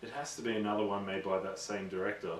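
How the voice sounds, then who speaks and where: A man's voice: fairly low pitch, steady tone, quick pace, distant mic. Aussie guy co-host giving blunt casual takes, roomy echo, mic not that close. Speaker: co-host of a gaming show. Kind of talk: casual chat and opinion.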